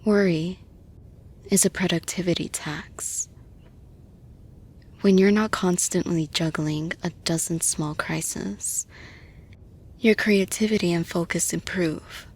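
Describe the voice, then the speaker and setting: A caring female boss played by a woman in an intimate audio roleplay, persuading gently. Her voice is soft, rather low and very breathy, often slipping into a whisper. She speaks very slowly and deliberately, with steady reassurance. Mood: calm, earnest.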